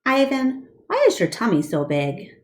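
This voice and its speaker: Woman voicing a curious, chatty baby girl elephant, swooping high. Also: huge pitch swings, moderate pace. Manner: peppering with eager questions.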